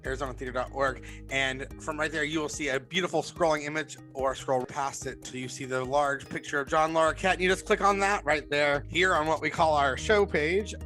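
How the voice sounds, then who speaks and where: A man's voice: fairly high pitch, fairly even intonation, a little fast, few pauses, pushed and bright. A man presenting a promotional announcement as a friendly step-by-step walkthrough, partly scripted. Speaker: announcer, theatre community engagement manager. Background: music.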